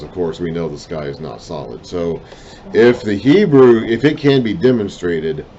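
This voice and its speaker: A man reading and explaining a Bible lesson in a deliberate way, his voice trailing off at the ends of phrases, with self-conscious apologetic asides. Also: deep voice, warm tone, highs cut off, slight background noise. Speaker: Bible teacher.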